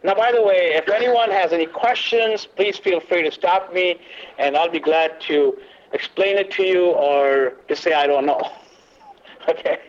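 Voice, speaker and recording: A man leading a church workshop talk, loud but not booming, halting with many fillers and quizzing the audience. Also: a little hiss or hum. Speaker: workshop teacher. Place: meeting room, away from the podium. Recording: dull lo-fi recording.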